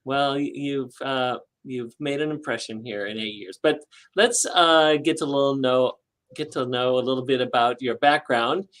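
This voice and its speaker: Woman hosting, halting delivery. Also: extremely low pitch. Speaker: talk show host interviewer. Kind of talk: unhurried conversational interviewing.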